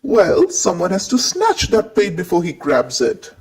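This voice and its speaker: A woman's voice: fairly low pitch, wildly swooping pitch, a little slow, darkish tone, breathy edge, voice pushed down, heavily acted. A woman voicing a gloomy, all-knowing talking bird in a storybook tale, emphatic and ominous. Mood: knowing, grim. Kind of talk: acted storybook dialogue.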